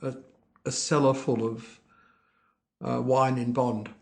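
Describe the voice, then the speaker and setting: An older man, a winemaker guest speaking in a hesitant, halting way and often restarting his sentences. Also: mid-range voice, little pitch movement, gravelly, a little mellow. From Italy, raised in Australia. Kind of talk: conversational interview.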